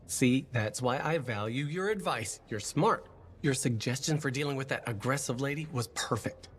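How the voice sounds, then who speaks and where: An actor playing a friendly, nervous bartender in a video game, chatty, earnest and a little hesitant. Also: male voice, mid-range voice, normal speed, fairly bright tone, slight background noise, lightly acted.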